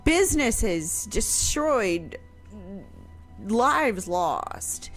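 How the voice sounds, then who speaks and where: A woman historian chatting eagerly on a radio show, quick to agree and add facts. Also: a little high, very animated, fairly bright tone, soft background music. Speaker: historian guest. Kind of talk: casual radio chat.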